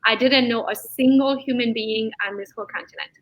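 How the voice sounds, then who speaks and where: A woman around forty, from Transylvania in Romania with Hungarian as her first language, telling her story as a real estate agent guest in a lively way with frequent laughs. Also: fairly high pitch, brisk, rough recording. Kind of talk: interview guest chat.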